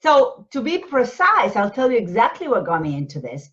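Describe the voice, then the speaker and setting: A woman's voice: fairly high pitch, wildly swooping pitch, normal speed, a bit bright. Argentine-born woman, dull lo-fi sound. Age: 40s. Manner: explanatory, frequent rhetorical tags like "right?". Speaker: panelist, business coach. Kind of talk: conversational interview answers.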